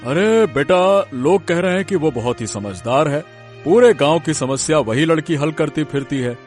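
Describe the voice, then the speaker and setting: Village landowner father for a cartoon, male actor: proud, touchy, very animated delivery over faint music. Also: on the high side, normal speed, lightly acted. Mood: puzzled. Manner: pompous, easily offended. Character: proud, domineering middle-aged village rich landowner father.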